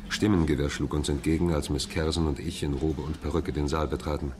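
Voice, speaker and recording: An actor playing a measured, sober barrister who narrates his case in a radio drama. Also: very deep voice, level tone, crowd noise. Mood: tense, sober. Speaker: narrator and character.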